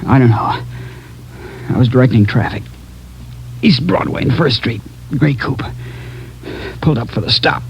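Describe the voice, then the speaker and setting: A gravelly, gasping voice: a shot traffic cop dying in a radio crime drama. He repeats broken fragments through the pain, and the old recording is dull with a faint hum. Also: mid-range voice, lively intonation, normal speed, pauses often. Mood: delirious.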